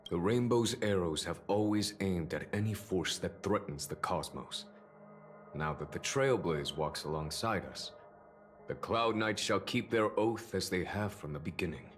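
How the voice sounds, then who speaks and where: An actor playing a noble, composed general in a video game, speaking in a measured, stately and earnest way. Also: male voice, deep voice, soft background music. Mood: solemn, resolute. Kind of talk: dramatic game character acting.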